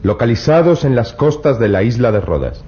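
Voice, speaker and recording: A man's voice: medium pitch, sing-song, brisk, mellow, lo-fi sound. An actor playing a bold, self-assured young male adventurer.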